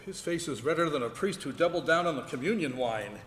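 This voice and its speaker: An actor playing a wisecracking, pedantic cast member with dry interjections in a live stage radio comedy. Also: male voice, on the high side, expressive, a little fast, lightly acted. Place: large ballroom, live before an audience. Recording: echoey noisy hall, live stage.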